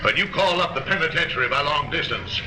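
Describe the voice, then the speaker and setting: An actor playing a cornered crooked banker in a 1930s film, evasive and indignant, protesting in a theatrical manner. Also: male voice, fairly high pitch, quick pace, lightly acted. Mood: indignant, insistent. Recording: echoey 1930s film soundtrack.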